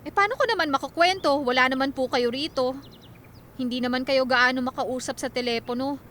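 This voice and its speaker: A woman's voice: sits high, brisk, a bit bright, slight background noise. A woman playing an evasive nineteen-year-old daughter in a Filipino radio drama, speaking in an offhand, mildly defensive way.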